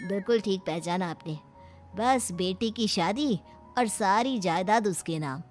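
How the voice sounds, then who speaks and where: A woman's voice: medium pitch, a little slow, faint music behind, natural voice. A woman playing a wealthy, shrewd middle-aged father in a cartoon story, firm and smug. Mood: proud, calm.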